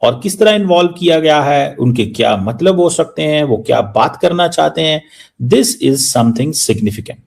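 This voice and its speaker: A man giving unscripted news analysis, mixing in English, halting and repeating phrases for emphasis. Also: fairly high pitch, lively intonation, vocal fry. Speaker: news commentator.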